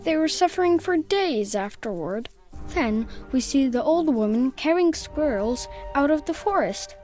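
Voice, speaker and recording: A woman's voice: sits high, lively intonation, a little slow, a little mellow, faint music behind. A woman voicing a sardonic captive child in a fairy-tale game, wry and matter-of-fact. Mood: grimly matter-of-fact.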